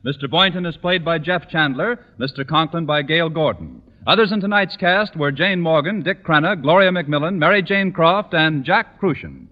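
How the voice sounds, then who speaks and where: A man's voice: a little high, moderate pace, few pauses. A man announcing a network radio show in scripted 1940s style. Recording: dull 1940s radio recording, music behind. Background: show theme music.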